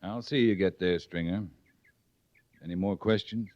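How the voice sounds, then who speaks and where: A low-voiced, laconic cavalry captain played by a man in a vintage radio western. He is stern and unflappable, giving orders with dry authority. The recording is dull and very dry, without room sound. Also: normal speed, dry recording, close to an everyday voice. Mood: commanding. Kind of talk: old-time radio western acting.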